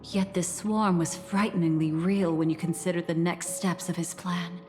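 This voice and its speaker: An actress playing a calm, mysterious woman who guides the listener through past memories in a composed, reflective way. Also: lowish voice, normal speed, close to an everyday voice. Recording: reverberant game audio with soft music.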